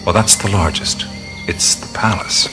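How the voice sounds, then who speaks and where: An actor playing a seasoned jungle explorer and guide in an audio drama, speaking in a laconic, musing way. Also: male voice, sits low, steady tone, unhurried. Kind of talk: naturalistic audio drama acting. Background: music, insect and cricket sounds.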